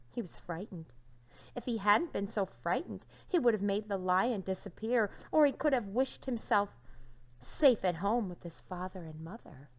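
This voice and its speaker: A woman with a medium-pitched voice reads a children's story aloud like a teacher. Her delivery is expressive, leaning into key words and letting the ends of phrases drop to a hush. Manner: expressive, dramatic emphasis with hushed line endings.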